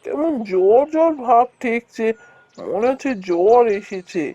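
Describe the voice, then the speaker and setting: A woman's voice: fairly high pitch, expressive, measured pace, dark tone, no echo, lo-fi sound. A woman voicing an ailing, anxious village husband in a cartoon, speaking in a weary, pleading way. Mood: weary, unwell. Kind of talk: cartoon voice acting.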